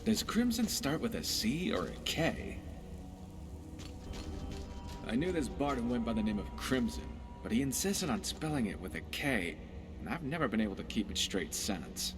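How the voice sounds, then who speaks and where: An actor playing a roguish, witty pirate companion in a video game, talking in a wry, philosophical, conversational way. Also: male voice, mid-range voice, sing-song, moderate pace, music in the background. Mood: wry musing.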